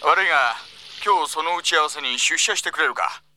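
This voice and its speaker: An actor playing a curt, authoritative middle-aged department manager in a Japanese audio drama, all business. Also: male voice, fairly high pitch, brisk, sharp tone, a little hiss or hum, poor audio. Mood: brusque, businesslike.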